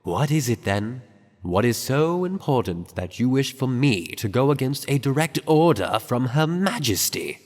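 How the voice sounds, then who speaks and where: Radio drama role: condescending, sarcastic old royal advisor pony, male actor, animated intonation, long hall reverb. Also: mid-range voice, a little slow. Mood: scornful, sarcastic. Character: haughty older male pony royal chamberlain. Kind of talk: fan-made radio drama acting.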